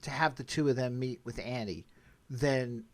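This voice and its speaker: A man's voice: medium pitch, moderate pace. Man chatting casually as podcast host. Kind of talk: casual conversational TV commentary.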